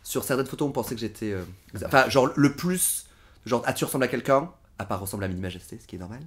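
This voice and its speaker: A man in his thirties co-hosting a podcast in a casual chat, playful, asking quick questions and making puns. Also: medium pitch, lively intonation. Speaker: podcast co-host.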